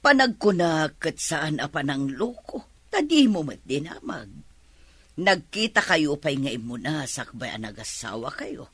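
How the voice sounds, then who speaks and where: A woman's voice: deep voice, big pitch swings, unhurried, husky, dry recording, full character voice. A middle-aged woman acting a worried, scolding mother in an Ilocano radio drama.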